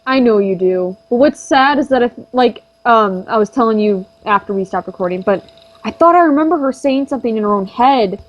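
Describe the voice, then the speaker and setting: A woman's voice: a little high, animated, fairly quick, poor audio. A young woman co-hosting a let's play, chatting casually with lots of fillers and easy laughs. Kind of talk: casual gaming commentary.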